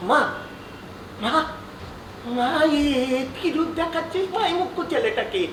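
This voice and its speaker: Actor as an intense, bright-voiced obsessive man. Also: very high voice, lively intonation, measured pace, exaggerated voice. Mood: imploring, anguished. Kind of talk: projected stage drama acting. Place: theatre stage. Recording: echoey theatre hall, distant mic, faint noise.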